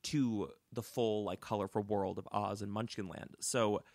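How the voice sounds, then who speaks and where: A young man with a fairly low voice tells a story to his co-host. He is casual and animated and fills his talk with "like" and quick restarts. The mic is close and dry, with no room sound. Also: no echo. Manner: chatty, animated, full of "like" and restarts. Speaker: podcast co-host. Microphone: close studio mic.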